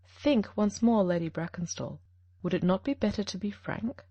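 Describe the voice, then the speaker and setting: A woman reading an audiobook, voicing a shrewd male detective who speaks in a measured, gently firm way. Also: medium pitch, dry recording, muffled recording, close to an everyday voice.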